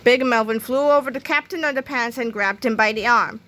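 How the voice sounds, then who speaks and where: Bright, high-voiced woman reading aloud. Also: a little fast. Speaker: storybook reader. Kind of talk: expressive children's book read-aloud.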